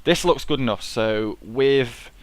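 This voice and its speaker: Man at his desk, stuffy from a cold, loose rambling sweary delivery, medium pitch, dry close mic. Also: slow, dry recording. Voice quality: swears freely, rambling and chatty. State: sniffly with a cold. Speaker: gameplay commentator. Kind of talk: casual let's-play commentary.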